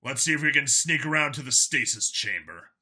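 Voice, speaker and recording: A man voicing a gruff, decisive male cop who leads an armed squad in an audiobook, speaking tersely. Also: mid-range voice, animated, a little fast, bright, forceful tone, exaggerated voice. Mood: terse, steady. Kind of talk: audiobook character voice.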